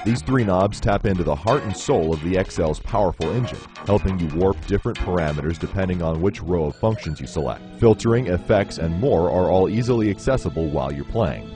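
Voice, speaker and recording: Male product demo narrator, low register, polished and instructional scripted read, clear music bed underneath. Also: animated, fairly quick, hardly any pauses. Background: synthesizer music.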